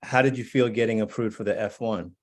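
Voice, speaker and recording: A man's voice: fairly low pitch, fairly quick. A man hosting a conversational interview, asking questions in an encouraging way. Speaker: interviewer, host.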